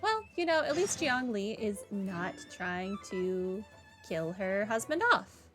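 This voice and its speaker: A woman's voice: mid-range voice, expressive, slow pace, a bit bright, faint music behind. A woman giving casual playthrough commentary with a dry, sarcastic manner. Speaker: gamer, playthrough host.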